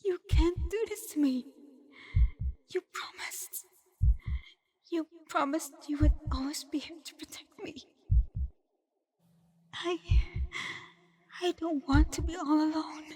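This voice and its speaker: A woman voicing a young, devoted, vulnerable half-blood kitsune in an emotional ASMR roleplay, often slipping into breathy whispers. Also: very high-pitched, takes it slowly, pauses often, darkish tone, dry recording, studio quality. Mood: desperate, pleading. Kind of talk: ASMR roleplay voice acting addressed to the listener.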